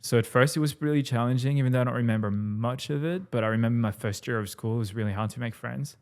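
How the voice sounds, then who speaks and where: A young man from New Caledonia with a French accent tinged with Australian, talking in a relaxed, rambling way as an interview guest. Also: medium pitch, fast talker. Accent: French, with some Australian. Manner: relaxed, rambling, laughs easily. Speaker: guest, musician. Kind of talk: casual interview chat.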